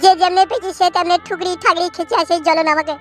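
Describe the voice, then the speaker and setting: A jilted village husband , voiced by a performer, wails through his heartbreak at a breakneck clip. The voice sits high and squeaky for a man, and he piles image on image in long laments. A cartoon voice over a soft music bed. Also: flat delivery, racing. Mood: aggrieved. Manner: long wailing complaints full of florid images. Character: jilted young village husband, self-pitying and dramatic. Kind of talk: cartoon dub acting.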